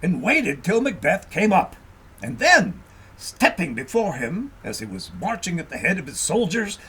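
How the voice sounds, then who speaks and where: A man reading a story aloud as scripted narration, in a dramatic, expressive storytelling manner. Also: high-pitched, normal speed, slightly rough. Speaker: audiobook reader.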